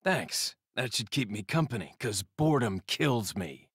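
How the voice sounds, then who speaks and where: An American actor playing a cool young action hero in a video game, dry, terse and sardonic. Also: male voice, medium pitch, sing-song, measured pace, a bit bright, slightly rough, dry recording, heavily acted. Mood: dry, steady. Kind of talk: video game drama acting.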